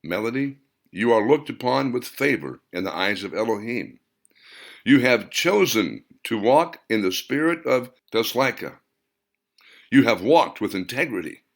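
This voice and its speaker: A man voicing a gentle, solemn female spirit in an audiobook, giving blessings in a reverent, measured way. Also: medium pitch, animated.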